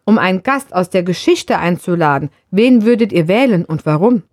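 A woman's voice: on the low side, lively intonation, moderate pace, darkish tone, no room sound, clean studio sound. A woman reading prepared questions aloud to podcast hosts. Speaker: question reader. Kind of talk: scripted questions read aloud.

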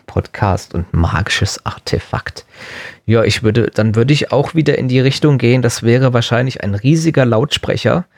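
Man podcaster with slightly creaky, dry sound. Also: mid-range voice, dry recording. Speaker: podcast and radio co-host. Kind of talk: casual chat, answering questions.

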